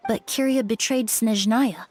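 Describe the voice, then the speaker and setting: A woman playing a composed, analytical young female investigator in a video game, speaking in a measured, formal, explanatory manner. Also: fairly high pitch, darkish tone. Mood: pensive. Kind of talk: video game character acting. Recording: background music.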